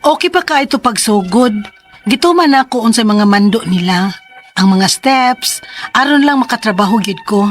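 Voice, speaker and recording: A woman's voice: fairly high pitch, lively intonation, moderate pace, faint music behind, natural voice. An actress in her twenties with a Cebuano Filipino accent, playing a hopeful, trusting young mother in a radio drama. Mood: expectant. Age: 20s.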